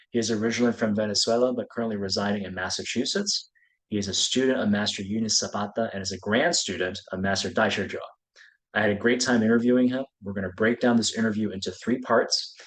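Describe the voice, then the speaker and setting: A man's voice: on the low side, poor audio. Polite man interviewing a guest. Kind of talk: casual interview questions.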